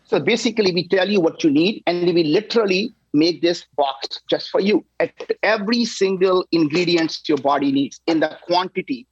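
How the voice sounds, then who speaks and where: A man in his sixties, a company founder guest on a talk show, pitching his product with energy and emphasis, often tagging "right?". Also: normal speed, hardly any pauses, breathy edge, no room sound, dull audio. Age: 60s.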